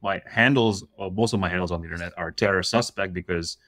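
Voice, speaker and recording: A man in his forties from Russia, a comedian guest on a podcast, telling stories in an animated way, laughing mid-sentence and acting out quoted voices. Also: low-pitched, quick pace, no room sound. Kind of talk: casual podcast conversation.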